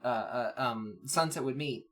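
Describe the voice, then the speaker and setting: A man's voice: mid-range voice, measured pace, a bit bright. A man co-hosting a podcast with casual, rambling, jokey banter and loose swearing. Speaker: podcast co-host.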